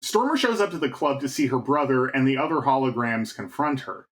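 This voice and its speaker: A man's voice: medium pitch, expressive, brisk, rough recording. A man co-hosting a podcast who walks through a game's plot step by step in casual chat. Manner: narrates plot points step by step. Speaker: podcast co-host. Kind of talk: casual chat recapping a game story.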